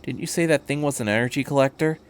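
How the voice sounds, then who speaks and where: Story reading: man as withdrawn teen boy, quiet, hesitant, short-spoken and anxious. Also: mid-range voice, quick pace, smooth, lightly acted. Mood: puzzled.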